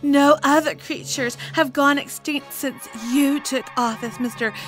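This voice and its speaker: A very high female voice, an actress as an alien leader's dutiful advisor. She is polite and upbeat, in a sci-fi radio comedy with faint music behind. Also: fairly even intonation, measured pace, fairly bright tone, rough recording. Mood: helpful. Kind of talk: comic sci-fi audio drama acting.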